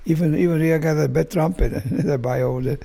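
Husky elderly Czech-accented man, halting English. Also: a little high, warm tone. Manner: halting broken English, repeats words, scat-sings rhythms. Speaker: jazz musician interviewed. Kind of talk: casual spoken interview in English.

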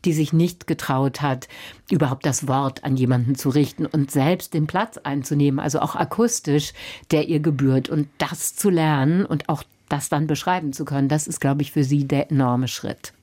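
A woman with a low voice presents a book as a critic on a radio discussion. She explains in a thoughtful, measured way. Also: a little mellow.

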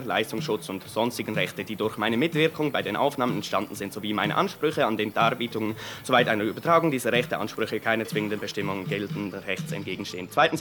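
A man explaining and reading a formal document aloud in a measured, formal way. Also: lowish voice, fairly bright tone.

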